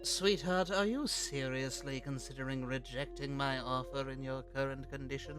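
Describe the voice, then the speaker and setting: A man voicing a sly, courteous, menacing gentleman hunter in a comic dub, speaking in a theatrical, genteel way. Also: medium pitch, fairly even intonation, normal speed, lightly acted. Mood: persuasive, sly. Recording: background music under voice.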